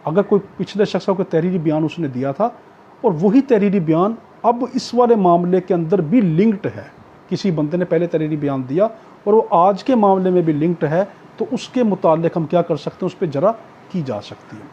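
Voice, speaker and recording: A man with a Pakistani accent lectures in a high, mellow voice with a husky edge. He explains like a teacher, going back over each point in new words. The recording is dull and lo-fi. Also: expressive, moderate pace, a little hiss or hum. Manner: didactic, repeats and rephrases points. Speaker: law lecturer. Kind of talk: explanatory law lecture, reading out provisions then paraphrasing.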